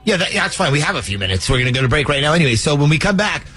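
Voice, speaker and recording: A man hosting a radio call-in segment, talking in a lively, joking, conspiratorial way. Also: a little high, racing, breathy edge, faint music behind. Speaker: radio show host. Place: radio studio.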